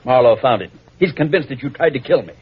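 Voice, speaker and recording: An actor playing a cold, calculating villain in a vintage radio melodrama, smug and menacing in his deliberate delivery, with a husky voice. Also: medium pitch, lively intonation. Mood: smug menace. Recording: muffled vintage radio recording.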